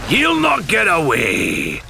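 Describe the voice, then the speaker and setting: A man voicing a huge, brutish cursed pirate villain in an audiobook, with a gruff, roaring delivery and rough pirate speech. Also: on the high side, huge pitch swings, slow, pushed and bright, a little hiss or hum, pitched above the performer's own voice, exaggerated voice. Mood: furious howl. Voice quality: gruff, gravelly roar. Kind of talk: dramatic audiobook character acting.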